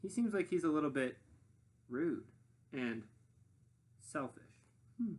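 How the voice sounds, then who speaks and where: A man narrating a children's story expressively as a read-aloud teacher, pausing to muse and put questions to listeners. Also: fairly high pitch. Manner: animated, pausing to muse and prompt.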